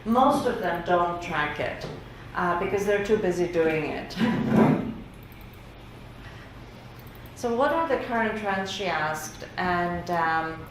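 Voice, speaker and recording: Woman lecturer, native Greek speaker, chatty and relaxed delivery, slightly low voice, very reverberant room with faint hiss. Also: slow, long pauses. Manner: conversational, many fillers, laughs lightly. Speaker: workshop lecturer. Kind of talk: lecture presenting survey results. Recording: very echoey room with faint noise.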